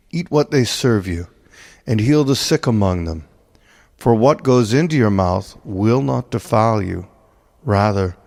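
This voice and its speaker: Male reader for sacred text: lowish gravelly voice, solemn and deliberate, heard in a long cavernous reverb. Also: darkish tone. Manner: measured, deliberate, dramatic phrasing. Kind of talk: solemn scripted reading of scripture.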